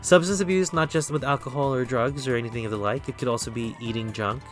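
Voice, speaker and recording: A man with an American accent giving a relaxed, rambling tarot reading on a live stream, often stopping to correct himself. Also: medium pitch, quick pace, soft background music. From Philippines.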